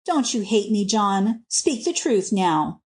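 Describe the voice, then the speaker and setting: A woman's voice: medium pitch, sing-song, slow, darkish tone. A woman voicing a proud young working-class woman in a storybook, self-blaming and emphatic in rustic colloquial speech. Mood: remorseful.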